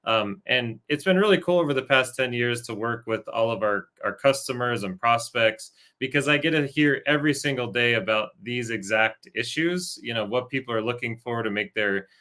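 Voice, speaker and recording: A man's voice: mid-range voice, moderate pace, sharp tone. A man who is head of sales introducing himself on a webinar in a friendly, upbeat way.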